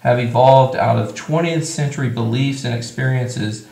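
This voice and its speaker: Gravelly voiced man, co-host, reads a quote aloud, roomy studio. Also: medium pitch, normal speed, dark tone. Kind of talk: interview. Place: studio set.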